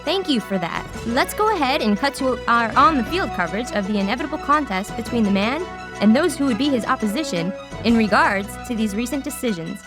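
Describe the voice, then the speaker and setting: Woman playing news anchor, fairly high voice, formal broadcast phrasing, mock newscast, music behind. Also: lively intonation, brisk, lightly acted. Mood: businesslike. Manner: formal broadcast hand-offs. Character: brisk female news anchor. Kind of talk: mock newscast in audio play.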